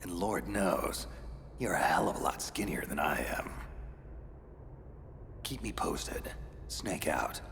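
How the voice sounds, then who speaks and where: An actor playing a gruff, sardonic veteran soldier in a video game drama, with a gravelly, breathy voice and terse delivery. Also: male voice, low-pitched, expressive, slow pace, long pauses, long reverb tail, background noise, heavily acted. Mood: gruff, businesslike.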